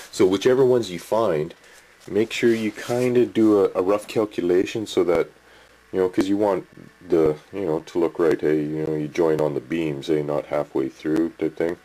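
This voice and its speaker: A man's voice: sits low, warm tone, rough recording. A man, rambling through a casual home workshop tip and checking in with the viewer, recorded on a handheld camcorder. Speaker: hobby model builder. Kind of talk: casual home video tutorial. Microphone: camcorder mic, handheld.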